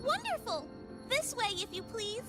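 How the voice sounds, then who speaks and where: A woman's voice: very high voice, very animated, measured pace, heavily acted. A woman voicing a small, cheerful helper creature in a video game, polite and eager to serve. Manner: polite, chirpy, servile. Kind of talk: game character acting. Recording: background music over the voice.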